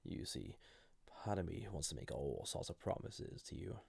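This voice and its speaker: A man playing a flirty, dangerous ancient villain entity in an intimate audio roleplay, with a gravelly, husky voice and deliberate delivery. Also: sits low, animated, darkish tone, no room sound, exaggerated voice. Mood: relieved. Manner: slow, deliberate, intimate, with pauses. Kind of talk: intimate scripted audio roleplay addressed to listener.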